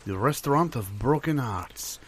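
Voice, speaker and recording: A man's voice: medium pitch, lively intonation, normal speed, husky. A man putting on a mock French accent as a pensive, mock-solemn character in an improvised comedy sketch. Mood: pensive, intrigued.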